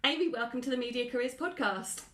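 A woman's voice: fairly high pitch, fast talker, pushed and bright, reverberant. A woman hosting an interview podcast. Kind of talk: scripted podcast introduction, then conversational interview.

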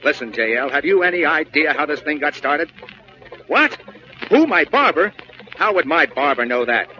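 An actor playing an irritable, flustered Wall Street brokerage boss in a blustering 1940s radio comedy style. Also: male voice, fairly high pitch, very animated, normal speed, bright, forceful tone. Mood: urgent, barking. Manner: blustering, exasperated, barking orders. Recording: muffled, hissy vintage radio recording.

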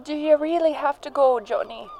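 A woman's voice: high voice, normal speed, warm tone, a little hiss or hum. An actress playing an anxious, tender wife and mother in a radio drama. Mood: worried, pleading.

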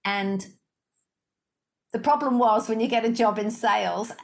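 A woman in her fifties with a slight Liverpool accent, chatting as a podcast guest. Her voice sits fairly high, and she tells stories in a lively, self-mocking way. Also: unhurried, gravelly. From Liverpool, England. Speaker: guest, sales coach. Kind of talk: casual podcast interview.

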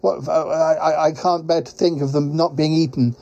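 A man's voice: on the high side, fairly even intonation, normal speed, vocal fry, darkish tone, dull audio, voice pushed up. A man voicing a polite, flustered talking duck in a comic podcast skit, hesitant and rambling, with quacks as a verbal tic. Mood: mildly wistful. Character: flustered, polite talking duck courier, male, pie-stuffed traveller.

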